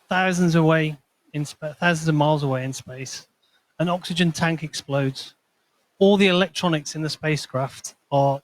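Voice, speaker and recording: A man's voice: a little high, dry recording, poor audio. A man presenting to a conference audience, explaining with a bit of storytelling and light humor. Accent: not Scottish. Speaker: conference speaker, consultancy manager.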